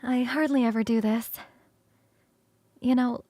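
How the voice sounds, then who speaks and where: A woman voicing an ancient succubus queen for ASMR roleplay, tender and teasing, speaking slowly and intimately, often near a whisper. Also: on the high side, steady tone, mellow, no echo.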